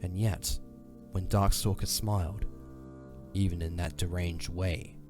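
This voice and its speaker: A man narrating a fantasy audio drama in a solemn, measured, dramatic storytelling style. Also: low-pitched, fairly even intonation, over music.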